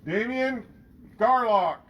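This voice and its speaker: Man loudly calling out names outdoors. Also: extremely high pitch, animated, slow, muffled recording, a little hiss or hum. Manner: loud announcing voice. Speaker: ceremony announcer. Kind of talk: reading out graduates' names. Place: outdoors at a campus.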